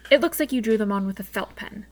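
A woman's voice: mid-range voice, fast, lightly acted. Woman acting defiant, sarcastic teenage girl. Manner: blunt, snarky. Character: defiant, sarcastic seventeen-year-old girl. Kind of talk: audio drama acting.

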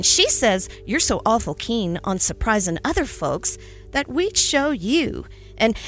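A woman voicing an excited little boy who tumbles over his words. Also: high-pitched, voice pushed up, heavily acted. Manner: rapid eager tumbling speech.

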